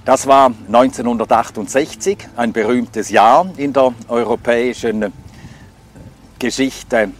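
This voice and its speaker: A man's voice: mid-range voice, steady tone, unhurried, a little hiss or hum. Older man telling his story. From Scandinavia. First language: Swedish. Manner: reflective, hesitant with frequent fillers. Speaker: testimony speaker. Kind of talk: personal life testimony.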